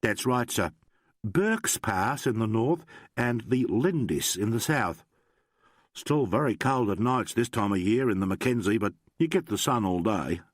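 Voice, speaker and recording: A man playing a calm, respectful country-bred police sergeant from an audiobook, plain and measured in every reply. The sound is dry and close, with no room around it. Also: on the low side, no room sound.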